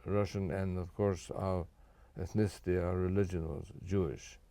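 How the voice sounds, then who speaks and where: An older man born in Japan, answering interview questions in a measured, careful way with frequent fillers and restarts. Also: deep voice, fairly even intonation, dark tone, no echo. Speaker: guest.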